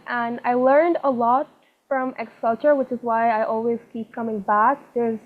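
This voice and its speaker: Young woman from Pakistan, higher voice, friendly and encouraging, tinny phone-like audio. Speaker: coaching manager. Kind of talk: leading an icebreaker online. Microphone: video call.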